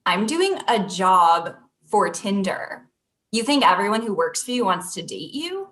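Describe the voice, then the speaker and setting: A woman's voice: medium pitch, sing-song, unhurried, fairly bright tone. A woman playing a plain-spoken thirty-year-old professional with a questioning, conversational manner in a comedy table read. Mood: indignant.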